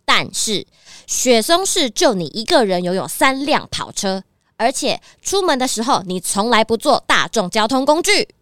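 Woman doing sly fox thief lady, taunting n haughty, taiwanese mandarin, kids audio play, pitch goes all over. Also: big pitch swings, normal speed, a bit bright, dry recording, studio quality. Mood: stern, threatening. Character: sly, righteous female red fox thief. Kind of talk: children's detective radio drama.

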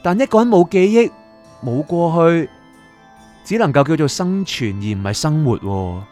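A man acts a chatty, inquisitive lost soul in a radio drama. His voice swings widely in pitch, eager and coaxing, with music underneath. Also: animated, normal speed, darkish tone, natural voice. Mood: probing, persuasive. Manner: eager, wheedling, explanatory. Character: curious, talkative bargaining soul of an anime fan. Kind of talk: Cantonese radio drama acting.

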